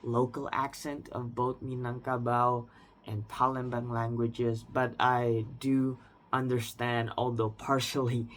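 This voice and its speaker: A man's voice: medium pitch, fairly bright tone. A man in his twenties with an Indonesian accent, speaking unscripted in a reflective personal monologue, often restarting and hedging. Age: 20s. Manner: reflective, with frequent restarts and hedges like "I would say". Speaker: vlogger.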